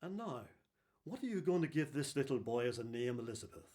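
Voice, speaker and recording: An actor playing a fussy, hesitant synagogue official with a questioning, easily flustered manner in a radio play. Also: male voice, mid-range voice, animated, fairly quick, darkish tone, lightly acted. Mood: genial, inquiring.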